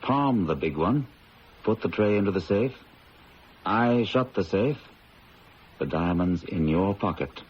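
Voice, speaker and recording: An actor playing a ruthless male diamond thief in a 1970s radio drama, speaking in a calm, measured, quietly menacing way. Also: lowish voice. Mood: commanding. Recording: lo-fi old radio broadcast with hiss.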